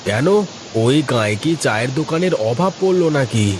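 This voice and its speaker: A man's voice: mid-range voice, lively intonation, close to an everyday voice. A man voicing a demanding, impatient household master in a cartoon, bossy and exclamatory. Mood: irritated. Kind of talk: Bengali cartoon voice acting. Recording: noticeable rushing rain-like ambient noise.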